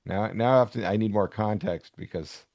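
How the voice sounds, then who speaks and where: Male co-host, lowish voice, slow delivery, very dry close sound, casual and a bit hesitant. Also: creaky, darkish tone, no room sound. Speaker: podcast co-host. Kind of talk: casual chat, reacting to comics.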